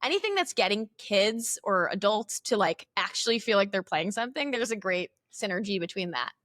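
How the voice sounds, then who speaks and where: A mid-pitched woman with a Chicago accent, talking casually as an interview guest. She rambles, restarts and fills with "like" and "you know". The sound is dry and close to the mic. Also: expressive, brisk, a bit bright, dry recording. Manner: chatty and rambling, many fillers and restarts. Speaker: guest, company CEO.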